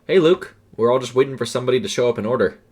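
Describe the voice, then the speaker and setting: A man voicing an easygoing male fast-food counter worker who talks offhandedly in an audio story. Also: mid-range voice, darkish tone, slightly rough, pitched above the performer's own voice, close to an everyday voice. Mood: breezy. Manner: offhand, informal.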